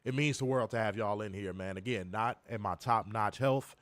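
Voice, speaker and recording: Hoarse, profane live-stream host. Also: male voice, lowish voice, moderate pace, no echo. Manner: profane, joking, talks straight to viewers. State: hoarse, recovering from illness. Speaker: co-host of a wrestling review stream. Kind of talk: casual live-stream chat. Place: home, in Chicago.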